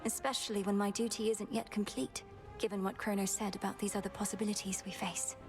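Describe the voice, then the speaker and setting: A dutiful young princess of the underworld, voiced by a game actress, earnest and composed. Her medium-pitched voice has a marked gravelly fry and formal phrasing, with faint music behind. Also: flat delivery, fast, big-room sound, close to an everyday voice. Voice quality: gravelly creak. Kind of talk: video game dramatic acting.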